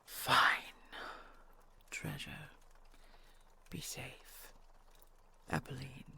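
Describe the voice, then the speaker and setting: A woman playing a commanding, dryly teasing she-dragon in a fantasy audio roleplay, with a gravelly, breathy growl. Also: a bass voice, little pitch movement, bright, forceful tone, whispered, full character voice. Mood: dryly amused. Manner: imperious, slow, theatrical.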